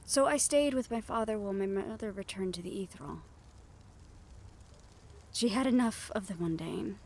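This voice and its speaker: A woman playing a gentle, wistful half-siren, half-banshee who confides softly in an intimate roleplay audio, with reflective pauses. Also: mid-range voice, close to an everyday voice. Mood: hesitant, confiding.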